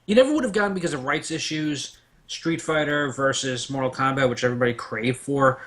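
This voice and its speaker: A man's voice: medium pitch, brisk, fairly bright tone. A man on a casual pop-culture debate panel, sharing trivia in a rambling, anecdotal and emphatic way.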